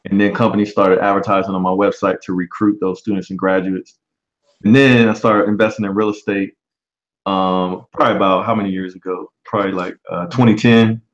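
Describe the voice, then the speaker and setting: A man in his forties hosting a casual livestream chat, relaxed and often pausing on um. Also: low-pitched, dull audio. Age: 40s. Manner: relaxed, frequent um fillers. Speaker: livestream host. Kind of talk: casual live-stream chat.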